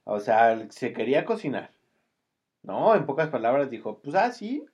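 A man's voice: medium pitch, sing-song, normal speed, a little mellow, husky, lo-fi sound. A man with a Mexican accent in casual chat as a podcast co-host, teasing and jokey.

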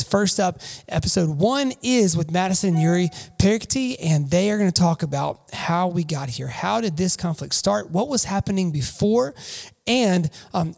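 A podcast host introducing an episode and interviewing guests in a relaxed, chatty way, with frequent ums. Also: medium pitch, gravelly, long reverb tail. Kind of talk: casual interview chat.

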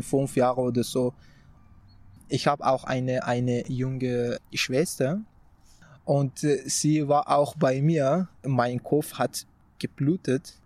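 Slow-speaking young man from Afghanistan. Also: mid-range voice, a little mellow, slight background noise. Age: young adult. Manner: slow, searching for words, halting restarts. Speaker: interview guest. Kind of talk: personal storytelling.

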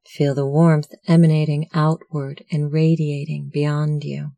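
A meditation instructor narrating a guided meditation in a measured, soothing, instructional manner. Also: mid-range voice, level tone, vocal fry, dark tone, no room sound.

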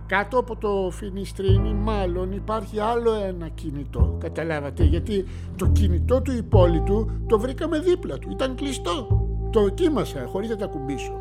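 A man's voice: high-pitched, lively intonation. An actor playing a practical man who explains things in a plain, homely way, in a radio play with music behind. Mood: explaining. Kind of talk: radio drama dialogue.

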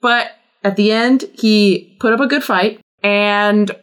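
A woman's voice: mid-range voice, steady tone, takes it slowly. A woman guest chatting casually on a podcast, emphatic and playful, stretching words for comic effect. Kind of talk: casual chat.

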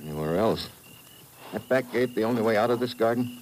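A man's voice: lowish voice, animated, a little fast, a little mellow, a little hiss or hum, lightly acted, rough recording. An American man acting a tough government agent in a 1950s radio drama, speaking in a clipped, blunt, businesslike way. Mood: brisk, grim. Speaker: lead actor and narrator of a radio drama. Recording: vintage radio recording with music.

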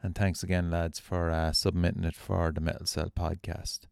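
Guy hosting a music podcast, low voice, dry close sound, really gushing about stuff. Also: little pitch movement, normal speed, vocal fry, a little mellow, smooth, no echo. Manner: enthusiastic, effusive praise. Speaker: podcast host. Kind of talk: music podcast talk and interview.

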